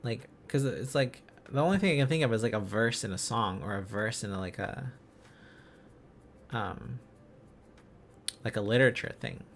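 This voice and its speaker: A man chatting casually as a livestream host, musing aloud in halting, repeated phrases. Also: mid-range voice, a little hiss or hum. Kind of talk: casual livestream chat while learning a language.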